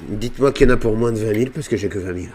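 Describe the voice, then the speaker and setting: A man's voice: fairly low pitch, rapid-fire, a little mellow, a little hiss or hum. A man improvising game roleplay and chatting casually, playfully hamming it up with slang. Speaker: gaming video creator playing online roleplay.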